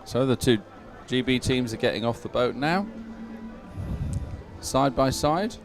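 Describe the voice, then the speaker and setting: A man's voice: mid-range voice, expressive, unhurried. Conversational male co-commentator, music behind him. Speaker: sports co-commentator. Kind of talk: live event commentary, conversational.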